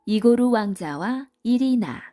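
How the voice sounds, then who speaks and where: A woman's voice: a little high, animated, slow, mellow, soft background music. A woman storyteller giving scripted storybook narration for children.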